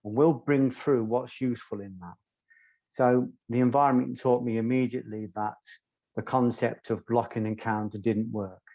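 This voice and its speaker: A soft-spoken man, a guest telling stories in an interview in a measured, articulate way. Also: medium pitch, fairly even intonation, no echo, dull audio. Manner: measured, articulate, occasional ums. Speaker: guest, former doorman. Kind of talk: reflective interview storytelling.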